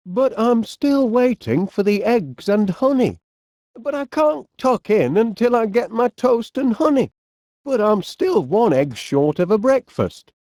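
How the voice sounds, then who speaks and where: Eccentric cartoon game inventor, Northern English accent, very animated intonation, slow pace, cheerful, chummy, genteel. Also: male voice, sits high, dry recording, clean studio sound, heavily acted. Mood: musing. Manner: chummy, genteel old-fashioned phrasing, musing aloud. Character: cheerful eccentric middle-aged English inventor, fond of his dog. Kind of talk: animated video game character voice lines.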